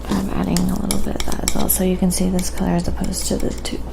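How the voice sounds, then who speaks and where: A woman with a lowish voice and plenty of vocal fry talks softly and without hurry, in an ASMR style. She explains her work casually as a live-stream art host, with clear background noise and small clinks around her. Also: monotone, quick pace.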